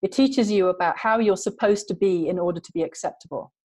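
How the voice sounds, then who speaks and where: A woman's voice: medium pitch, brisk, darkish tone. A woman guest in an interview, talking earnestly and emphatically in long flowing sentences. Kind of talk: passionate conversational interview.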